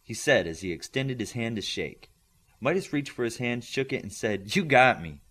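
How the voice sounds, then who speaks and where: A man's voice: mid-range voice, expressive, moderate pace, fairly bright tone. A man reading a story aloud as scripted audiobook narration. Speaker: audiobook reader.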